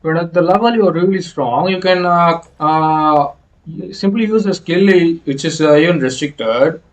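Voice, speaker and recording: A man giving explanatory video game playthrough commentary in a halting, hesitant way. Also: a little high. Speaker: gaming video creator.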